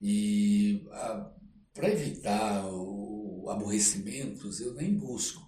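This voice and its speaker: An older man with a Brazilian accent, telling personal anecdotes as an interview guest in a halting, reflective way. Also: fairly low pitch, mellow. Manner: halting, reflective, frequent tag questions. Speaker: interview guest, actor and storyteller. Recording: roomy echo, clear background noise.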